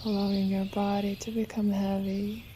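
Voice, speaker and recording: Woman, meditation guide, very soft dark tone, gentle and soothing, birdsong and nature ambience behind. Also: medium pitch, little pitch movement, a little hiss or hum. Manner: soothing, unhurried, gentle instruction. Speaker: yoga nidra teacher. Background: birdsong and nature sounds.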